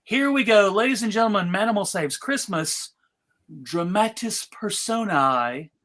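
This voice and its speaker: A man's voice: sits high, lively intonation, unhurried, fairly bright tone. A man hosting and narrating a table read in a playful, mock-formal, emphatic way. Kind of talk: playful, theatrical presenting.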